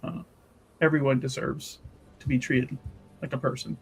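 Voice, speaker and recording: A man's voice: medium pitch, little pitch movement, moderate pace, crowd noise, voice pushed down, lightly acted, poor audio. Man playing a chatty, kindly fantasy woman. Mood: earnest. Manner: chatty, earnest, ingratiating. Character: friendly, kindly, talkative female visitor to an estate.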